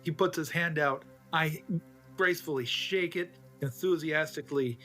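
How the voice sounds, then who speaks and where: Man, tabletop player, slightly high voice, chummy, easygoing roleplay chat. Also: moderate pace, sharp tone, soft background music. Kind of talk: casual in-character roleplay chat.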